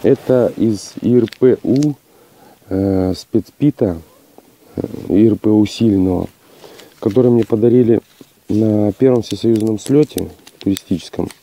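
A man with a hoarse voice from a cold, testing gear outdoors in a plain, matter-of-fact way with hesitant fillers. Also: lowish voice, unhurried, warm tone. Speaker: outdoor channel host. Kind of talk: casual outdoor gear test. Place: outdoors on a rocky hillside.

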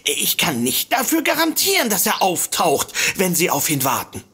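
Flustered, stammering secretary, slightly husky, animated. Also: female voice, fairly low pitch, moderate pace, exaggerated voice. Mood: worried, earnest. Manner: nervous, stammering, rambling, giggly. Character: flustered, apologetic, fussy secretary seeking help. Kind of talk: comedic audio drama acting.

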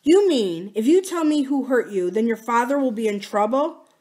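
A woman's voice: fairly high pitch, animated, normal speed, darkish tone, voice pushed up, close to an everyday voice. A woman voicing a kind, earnest, gullible young male farmer in an audiobook, eager and reassuring. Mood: protective. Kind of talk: audiobook character dialogue.

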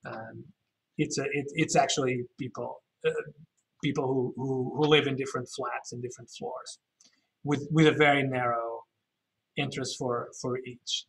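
A man's voice: medium pitch, steady tone, moderate pace, gravelly, a bit bright, low-quality recording. A man guiding an informal virtual walking tour, thinking aloud and often correcting himself as he explains. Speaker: architectural tour guide.

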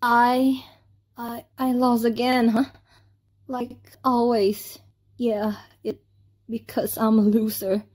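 A woman voicing an insecure, easily hurt young female singer in a game cutscene, with halting, hesitant delivery. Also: a little high, level tone, darkish tone, slightly rough. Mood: bitter, defeated. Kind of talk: amateur game mod cutscene voice acting.

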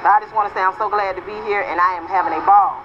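A woman's voice: medium pitch, fairly even intonation, racing. A woman in her forties giving a casual, chatty greeting to a video camera. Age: 40s. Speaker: class reunion attendee. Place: indoor hotel hallway. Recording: dull lo-fi camcorder, noisy room.

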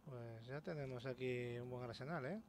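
Guy from spain accent, casual sweary let's play chat, mid pitch, noisy game behind. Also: moderate pace, a little mellow. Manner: sweary, colloquial. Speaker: gameplay host.

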